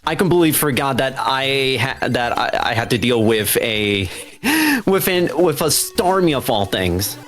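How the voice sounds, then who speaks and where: A man's voice: mid-range voice, big pitch swings, measured pace, a bit bright. A man chatting casually as he plays a game, often stopping and restarting mid-thought. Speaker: speedrunner talking while playing. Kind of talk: casual live play chat. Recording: music behind the voice.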